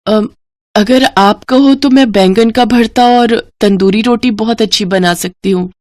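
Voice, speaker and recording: A woman's voice: on the high side, a little slow, a little mellow, dry recording, natural voice. Woman as humble village mother for a moral story: courteous, pleading, dry close sound. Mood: grateful. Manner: polite, pleading, deferential. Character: humble, hardworking poor village wife and mother.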